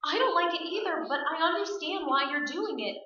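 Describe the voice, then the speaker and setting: A woman's voice: extremely high pitch, animated, brisk, pushed and bright, full character voice. A woman playing a devoted, stubbornly brave young farm woman in a staged radio play. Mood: pleading. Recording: echoey stage, distant mic, dull sound.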